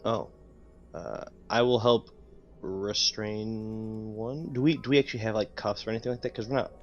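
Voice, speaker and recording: Hesitant low-voiced man, muffled. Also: moderate pace, faint music behind. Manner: hesitant, asks questions. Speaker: player. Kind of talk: casual tabletop play.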